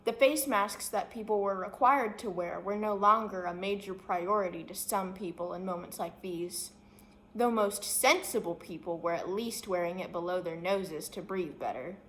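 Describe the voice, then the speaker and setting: A woman's voice: mid-range voice, moderate pace, a bit bright, rough recording. A woman reading a children's story aloud as scripted narration. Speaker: story reader. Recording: faint background noise.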